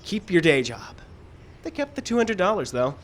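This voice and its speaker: An actor playing a rueful, hesitant struggling screenwriter in a live radio drama, speaking earnestly. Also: male voice, high-pitched, sing-song, normal speed. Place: live radio show in an outdoor park. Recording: live outdoor stage recording, background noise.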